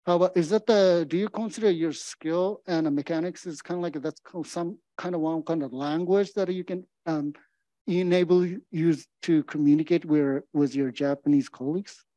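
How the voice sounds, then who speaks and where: A man's voice: fairly high pitch, normal speed, mellow, no echo. A middle-aged man moderating a panel interview, asking encouraging questions into a handheld mic with many fillers. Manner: encouraging, many fillers and back-channel murmurs. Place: indoor meeting room.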